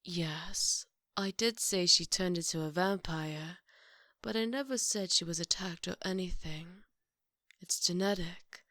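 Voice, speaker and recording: A woman playing a composed, formal maid to a vampire, polite and gently teasing, in an ASMR roleplay. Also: fairly low pitch, no room sound, studio quality. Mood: calm, reassuring. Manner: polite, measured, wry.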